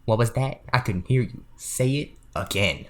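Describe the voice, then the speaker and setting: A man's voice: medium pitch, normal speed, a bit bright, natural voice. A man playing a sly, touchy back-room card dealer in a comedic audio play, with a swaggering, theatrical and demanding manner. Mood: indignant.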